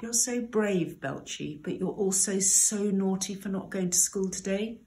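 A woman's voice: medium pitch, a little slow, close to an everyday voice. A woman voicing a loving mother pig who scolds with pride, in a children's storybook. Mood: proud, cross. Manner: exclaims and gently scolds.

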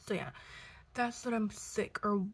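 A sick young woman in her twenties, hoarse and congested, chatting casually to a close camera at home, cursing and coughing now and then. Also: medium pitch, measured pace. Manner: chatty, swearing, coughs between lines. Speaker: vlogger. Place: living room at home. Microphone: camera close to face.

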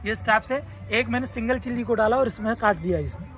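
A man's voice: sits high, fast talker, heavy background noise. A young man giving a rehearsed sales demonstration at a market stall, listing uses in repetitive patter. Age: young adult. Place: open-sided tented fair stall outdoors. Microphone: handheld camera a step or two away. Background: music.

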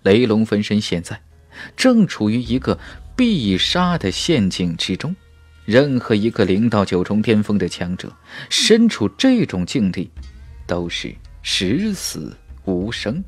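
A man narrating a fantasy audiobook in a theatrical, suspenseful manner, with dramatic pauses. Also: lowish voice, sing-song, no echo, faint music behind.

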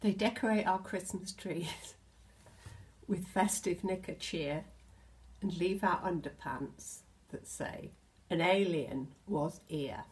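Slow, low-pitched British woman reading to children. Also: rough recording. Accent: British English. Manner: expressive, addressing children with gentle questions. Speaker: storyteller, infant school teacher. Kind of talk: children's picture book read-aloud with asides. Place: indoors in a home hallway.

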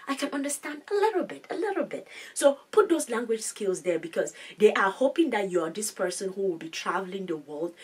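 A woman in her thirties coaches viewers through a scholarship application, talking animatedly and often repeating herself mid-thought. Her voice is a little husky and sits slightly back from the camera mic in a room with bookshelves and plants. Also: on the low side, far from the mic. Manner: animated, gestures a lot, repeats words while explaining. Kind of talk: casual advice video.

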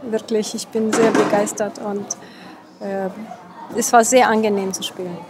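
A woman's voice: medium pitch, steady tone, normal speed, very noisy. A woman in her thirties from Minsk in Belarus, a musician speaking hesitantly in a casual interview, searching for words. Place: outdoors in the evening. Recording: music behind the voice.

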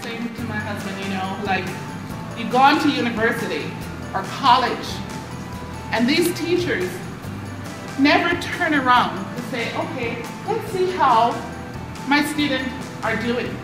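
A woman excitedly speaking up from the audience in a large conference room. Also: on the high side, unhurried, long pauses, over music. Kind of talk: audience testimonial. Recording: echoey room with crowd.

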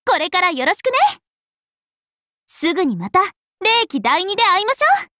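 Casting: perky young game princess, very high and animated, friendly, playful. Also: female voice, normal speed, no room sound, clean studio sound, heavily acted. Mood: cheerful, playful. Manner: cheerful, informal, playful. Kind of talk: Japanese mobile game character voice lines.

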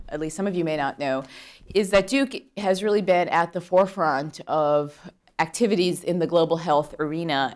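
A woman in her thirties speaks into a podium microphone in a conference room full of attendees. Her voice sits somewhat low, and she lectures in an earnest, measured way, filling pauses with ums as she welcomes the audience. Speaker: law professor opening a conference.

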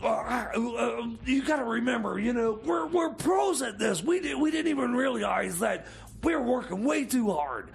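A very high, gravelly male voice put on by a man for comedy radio. He is an excitable stoner sidekick who stammers, loops back on himself and rambles on. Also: measured pace, heavily acted. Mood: giddy, boastful. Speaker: co-host character on a comedy radio show. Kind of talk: improvised comedy radio theater.

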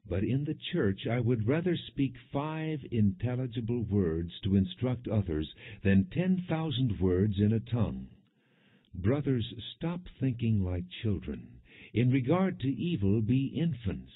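A man narrating a Bible audiobook in a measured, deliberate way, reading plainly without dramatization. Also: on the low side, slightly rough, dry recording. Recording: muffled, narrow phone-like sound.

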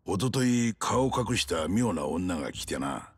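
A weary middle-aged detective in a crime game cutscene. The voice is low and husky, and he lays things out bluntly in rough masculine speech. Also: big pitch swings, a little slow, no echo, heavily acted. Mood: matter-of-fact. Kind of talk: dramatic video game cutscene acting.

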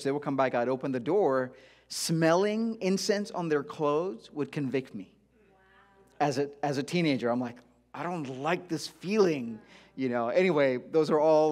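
A middle-aged man from India who grew up in Dubai, giving an informal sermon as a guest preacher in a conversational, halting way. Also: medium pitch, darkish tone. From India-born, grew up in Dubai. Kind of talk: informal sermon, personal testimony. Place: church auditorium stage, before a congregation.

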